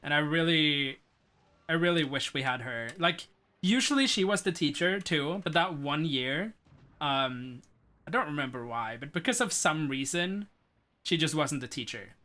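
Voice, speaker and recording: A man's voice: on the high side, lively intonation, normal speed, sharp tone. A man with a Norwegian accent chatting casually on stream, rambling with lots of fillers. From Norway. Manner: rambling, filler-heavy, laid-back. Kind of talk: casual chat during a speedrun stream.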